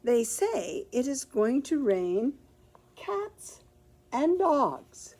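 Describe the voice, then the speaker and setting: A fussy, hurried lady of the house in a storybook, read aloud by a woman. She talks briskly, a little higher than the narrator's own voice, and sounds slightly flustered. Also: very animated, darkish tone, exaggerated voice. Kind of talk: picture book read aloud.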